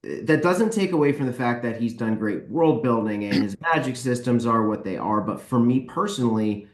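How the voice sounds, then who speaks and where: A man's voice: mid-range voice, lively intonation, normal speed. A man chatting casually and candidly as a podcast guest, giving emphatic opinions. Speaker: podcast guest, book publisher and author. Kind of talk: casual opinionated panel chat. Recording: roomy, echoey sound.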